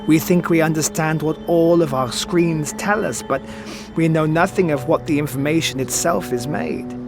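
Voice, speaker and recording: A suave, theatrical master thief. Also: male voice, fairly high pitch, normal speed, creaky. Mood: wistful, musing. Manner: theatrical, florid, pompous phrasing. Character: suave, sardonic older male master thief, wistful mentor. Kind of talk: audio drama acting. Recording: music behind the voice.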